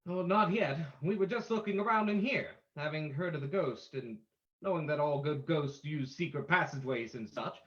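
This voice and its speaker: Guy acting as bold radio detective gent, theatrical, bright forceful voice, slightly dull audio. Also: a little high, moderate pace, natural voice. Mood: polite, inquiring. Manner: crisp, theatrical, commanding. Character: bold, confident gentleman detective. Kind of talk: old-time radio drama acting.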